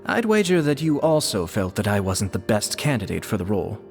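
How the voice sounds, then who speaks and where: An actor playing a composed, formal older brother and diplomat in a game, speaking calmly and politely. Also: male voice, fairly low pitch, a little fast, creaky, over music.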